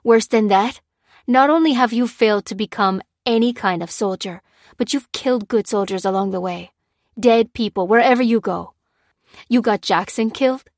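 A woman's voice: medium pitch, a little fast, no echo, lightly acted. A hard-bitten male soldier, as a woman narrator puts him on, growls and hurls accusations in a raised, heated voice. The sound is dry and close, with no room around it. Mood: angry ranting. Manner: growling, ranting, hurling blame. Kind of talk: audiobook character reading.

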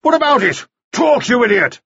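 Man playing an irascible boss, barking and loud. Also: very high-pitched, sing-song, brisk, breathy edge, dry recording, lo-fi sound, voice pushed up, heavily acted. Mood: angry, alarmed. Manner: barking, shouting, impatient. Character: irascible blustering male boss barking at his servant.

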